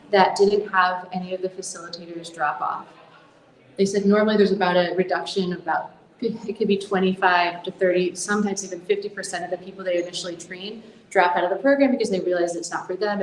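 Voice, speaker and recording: A woman's voice: medium pitch, a little mellow. An American woman in her thirties presenting her nonprofit in a conference talk, earnest and full of ums and small restarts. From United States. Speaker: nonprofit co-founder giving a talk. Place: conference hall. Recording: roomy hall sound.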